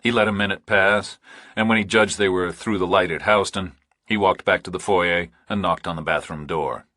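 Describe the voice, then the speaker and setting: A man narrates a thriller audiobook from a script. His voice is very low and husky, and the recording is close and dry. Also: fairly even intonation, moderate pace, sharp tone, dry recording.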